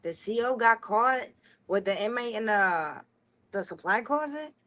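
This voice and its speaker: Bright, high-energy young woman recounting stories. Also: animated, a little slow. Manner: rambling, slangy, frequent "like". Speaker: interview guest, former prison inmate. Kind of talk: candid conversational storytelling.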